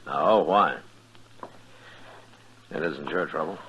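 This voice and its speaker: A very deep, grim frontier marshal. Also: male voice, slow pace, sharp tone, slight background noise, full character voice. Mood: weary, resigned. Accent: American. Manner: terse, grim, plain-spoken. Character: weary, stern frontier US marshal, hard and resolute. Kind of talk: 1950s radio western acting. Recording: dull lo-fi 1950s radio recording.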